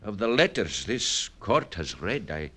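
An actor playing a formal, hesitant merchant suitor in a 1950s radio drama, speaking in measured, halting phrases. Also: male voice, on the low side, big pitch swings, sharp tone, heavily acted.

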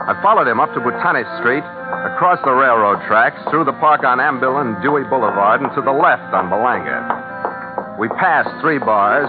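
A man's voice: medium pitch, natural voice. An American actor playing a wry, hard-boiled sea captain who narrates his log in a clipped 1940s radio style. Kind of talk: 1940s radio drama acting, scripted narration. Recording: phone-like old radio recording, loud music behind.

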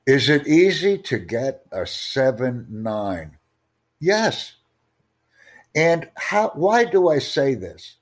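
A male tutor hosts a teaching podcast, answering listeners' questions. He speaks slowly and formally in a measured, mid-range voice, often putting rhetorical questions to his audience. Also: mid-range voice, sing-song. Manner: slow, formal, deliberate, asks rhetorical questions. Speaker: IELTS tutor and podcast host.

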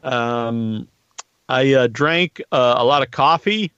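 A middle-aged man hosting a podcast in casual, joking chat, talking in a rambling, halting way with crude humour. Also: mid-range voice, fairly bright tone, smooth, no room sound. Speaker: podcast host. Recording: muffled lo-fi online stream audio.

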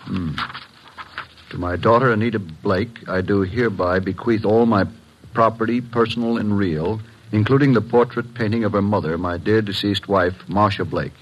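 An actor playing a skeptical, formal gentleman in an old-time radio drama, with a husky voice and precise, probing delivery. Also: fairly low pitch, moderate pace, highs cut off, slight background noise, natural voice. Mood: formal reading.

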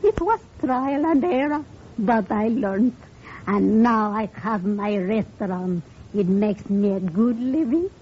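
An actress playing a wise, calm, middle-aged blind restaurant owner in a measured 1940s radio drama style. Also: female voice, fairly high pitch. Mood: calm reflective. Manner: composed, measured, philosophical. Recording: muffled, noisy old radio recording.

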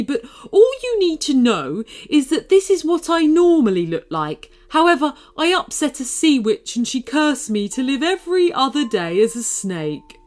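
A woman's voice: high voice, voice pushed up. A woman voicing a fretful cursed shark. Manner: fretful, nervous, then relieved and friendly. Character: anxious cursed male shark, once a snake. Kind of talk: storybook character voice.

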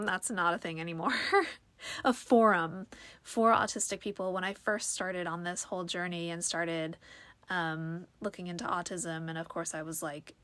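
A woman's voice: fairly low pitch, sharp tone, no room sound. A young woman hosting her own podcast, talking alone in a rambling way with lots of fillers, a little sniffly from allergies. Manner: rambling, many fillers and restarts. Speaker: podcast host. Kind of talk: casual solo podcast monologue.

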